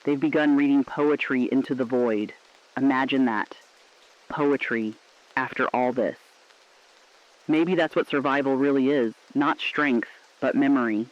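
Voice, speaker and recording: A man's voice: mid-range voice, measured pace, highs cut off, close to an everyday voice. A man playing a weary but hopeful broadcaster in an underground relay, speaking in a solemn, poetic, reflective style. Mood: grave, hopeful. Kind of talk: scripted apocalyptic radio broadcast narration.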